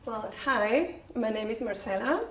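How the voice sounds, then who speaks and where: A woman's voice: a little high, animated, measured pace, slight background noise. A woman from Mexico telling a personal story, chatty and speaking straight to her audience. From Mexico City. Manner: chatty, addressing the audience directly. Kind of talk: personal story told to a group. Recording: roomy, distant from the mic.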